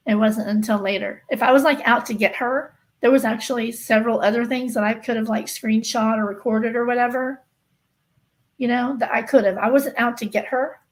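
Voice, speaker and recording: Woman, livestream host, fairly high voice. Conversational, insistent delivery, repeats phrases for emphasis. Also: low-quality recording. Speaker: livestream host of a true-crime gossip channel. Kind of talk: casual livestream chat, replying to viewers.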